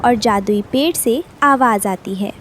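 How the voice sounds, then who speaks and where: A woman's voice: fairly high pitch, a little slow, fairly bright tone, slight background noise. A woman giving scripted narration for a children's cartoon story.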